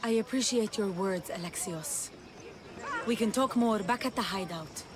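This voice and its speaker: Earnest young fugitive , played by a woman, medium-pitched voice, regretful, serious game drama. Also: moderate pace, natural voice. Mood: subdued. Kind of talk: video game cutscene acting.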